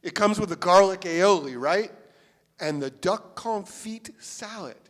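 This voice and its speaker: A man's voice: sits high, expressive, unhurried. A man playing an easygoing, politely curious searcher in a live staged play reading, casual in manner. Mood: casual, inquiring. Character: easygoing, hungry, politely probing searcher for a missing friend. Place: theatre stage before a live audience. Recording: reverberant theatre hall, live stage.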